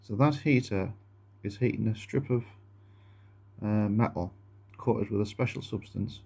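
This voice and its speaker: Male demo presenter, casual and hesitant, soft-edged dark tone with noticeable fry. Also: fairly low pitch. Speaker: presenter of a gadget demonstration video. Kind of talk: casual hands-on demonstration, explaining step by step. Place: indoors at a wooden table.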